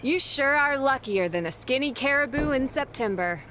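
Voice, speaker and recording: Sneering jealous rival. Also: female voice, high voice, expressive, moderate pace, fairly bright tone, slight background noise. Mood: resentful. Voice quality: sneering, resentful. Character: bitter jealous female wrestler rival. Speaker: rival wrestler.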